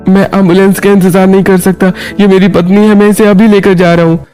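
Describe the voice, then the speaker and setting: A man's voice: high voice, level tone, a little fast, warm tone, soft background music, pitched above the performer's own voice, natural voice. A man voicing an anxious, protective young husband in a Hindi moral story, speaking urgently and emphatically. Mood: urgent pleading.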